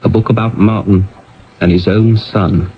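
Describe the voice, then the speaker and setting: An actor plays a thoughtful man in a 1970s radio mystery, solemnly laying out his account. His voice is on the low side. The broadcast sound is dated and a bit dull. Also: steady tone, unhurried, soft background music, close to an everyday voice. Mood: reflective, solemn. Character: thoughtful man explaining a writer's fate. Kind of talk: 1970s radio drama acting.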